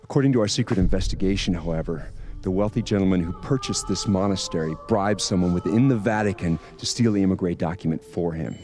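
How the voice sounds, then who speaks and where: An actor playing a stern older priest on a secret mission, speaking in a grave, measured, guarded way in a video game. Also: male voice, sits low, a little mellow, faint music behind, lightly acted.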